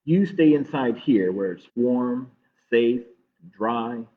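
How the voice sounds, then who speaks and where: A man voicing a protective, gently firm mother in a storybook, with plain cautioning delivery. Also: mid-range voice, lively intonation, slow pace. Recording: muffled phone-like streaming audio.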